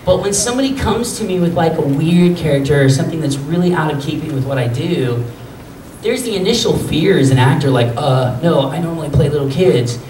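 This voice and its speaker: A man with a high, boyish voice talks to a convention crowd in an animated, joking way. He sits at a table mic in a meeting room. The recording is roomy and noisy, picked up from a camera well back in the hall. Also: brisk, far from the mic. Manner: animated, jokey storytelling with bursts of laughter. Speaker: voice actor at a convention panel. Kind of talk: casual panel talk answering fan questions. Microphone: table mic on stand.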